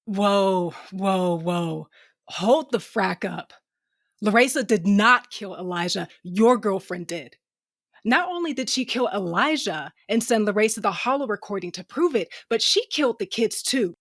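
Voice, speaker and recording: A woman's voice: medium pitch, animated, unhurried, no echo, clean studio sound. A woman playing a blunt, sardonic female starship captain who narrates her own science fiction audio drama in slangy language. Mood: sarcastic, challenging. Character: tough, sardonic, foul-mouthed female ship captain with a cybernetic eye. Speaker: narrator and lead character. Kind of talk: first-person audio drama narration and dialogue.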